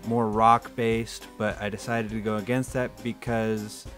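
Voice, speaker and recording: A man's voice: fairly low pitch, little pitch movement, a little slow, a bit bright. A man, an audio editor, giving casual, rambling behind-the-scenes commentary in an informal way. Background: music.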